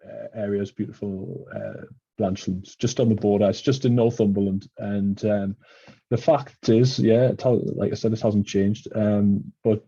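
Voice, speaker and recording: A man with a Geordie accent hosts a casual podcast chat, drifting through long local stories with plenty of ums and uhs. His voice is warm and mellow. The sound is close and dry, with a slightly dull, lo-fi edge. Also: lowish voice, steady tone, moderate pace, husky, no room sound. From Newcastle, England. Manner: rambling storyteller with many fillers. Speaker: podcast host.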